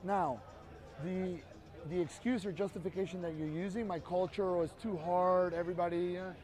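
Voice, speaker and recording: A man's voice: sits high, steady tone, moderate pace, darkish tone. A man with an earnest, emphatic way of talking, making his case to a passerby outdoors on a city street. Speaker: street activist. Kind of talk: persuasive street conversation.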